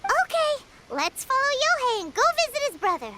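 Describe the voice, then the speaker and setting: A woman voicing a small, chirpy fairy companion in a video game, excitable and outspoken. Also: extremely high pitch, fairly quick, very noisy. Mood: chatty. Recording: studio voice with loud game music.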